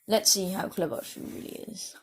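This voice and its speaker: A vain storybook king, put on by a woman speaks slowly in a deep, royal voice. He sounds full of himself and used to giving orders, with a breathy edge. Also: a bit bright, exaggerated voice. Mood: commanding. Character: pompous man king, proud of his silly name.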